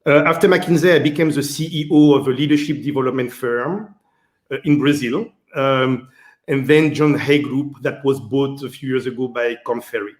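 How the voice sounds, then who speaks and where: A man's voice: mid-range voice, normal speed. A man from Martinique with a French accent, answering interview questions as a guest executive, hesitant and full of fillers. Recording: roomy echo.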